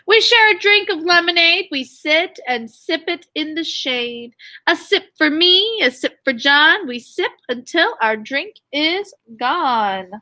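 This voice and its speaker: A woman's voice: very high-pitched, animated, slow, pushed and bright. A woman teacher reading a story aloud to young children, expressively, with questions and asides. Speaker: elementary school teacher.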